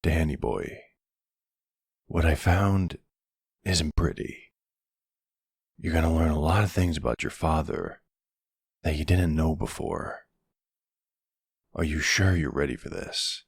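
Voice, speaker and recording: A man playing an older, calm and devoted mafia boss in an intimate roleplay audio drama, measured and affectionate. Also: no echo, exaggerated voice. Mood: grave, steady. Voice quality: low, gravelly.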